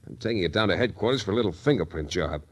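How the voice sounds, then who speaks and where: An actor playing a wry, terse, flirtatious hardboiled private detective in a 1940s radio drama. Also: male voice, low voice, expressive, very fast, slightly rough. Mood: intent, confident. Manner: wry, terse tough-guy patter. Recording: 1940s radio recording with music.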